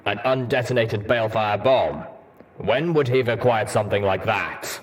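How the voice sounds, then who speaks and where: A man voicing an angry, gruff armored pony soldier in a story reading, stern and demanding. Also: on the low side, moderate pace, natural voice. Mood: skeptical.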